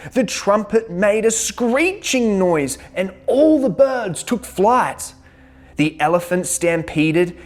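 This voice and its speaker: A woman hosting a children's read-aloud, telling a rhyming picture book in an expressive, dramatic way. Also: medium pitch. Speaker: children's story reader and host.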